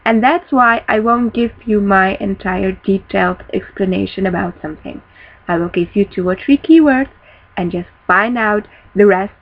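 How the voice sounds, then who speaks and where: A young woman, a native Dutch speaker, teaching a casual spiritual lesson from a small room, often pausing to find words. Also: mid-range voice. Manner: thoughtful, searching for words, restarts often. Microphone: built-in laptop webcam mic, close. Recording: dull lo-fi webcam audio.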